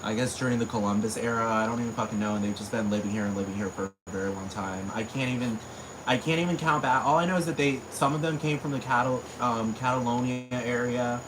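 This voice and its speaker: A man's voice: mid-range voice, flat delivery, quick pace, background noise. Rambling, profane Puerto Rican man. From Puerto Rico. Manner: rambling, profane, full of fillers. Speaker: vlogger. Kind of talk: casual rant. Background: crickets and insects.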